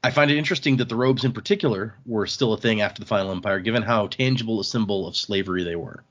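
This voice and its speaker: A man's voice: lowish voice, fast talker, a bit bright, muffled recording. A man hosting a podcast, chatting casually and reading listener emails aloud in an easygoing, agreeable manner.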